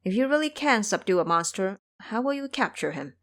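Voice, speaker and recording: A woman's voice: medium pitch, very animated, fairly quick, lightly acted. A woman voicing a proud, sorrowful captive princess in an audio drama, speaking in an earnest, formal and dramatic way. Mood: doubtful.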